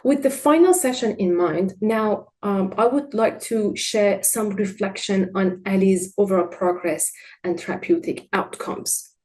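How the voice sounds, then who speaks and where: Mellow-voiced woman therapist, native Farsi. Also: medium pitch. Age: adult with a child. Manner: measured, gentle, partly read from notes. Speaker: art therapist. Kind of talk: presenting a clinical case study.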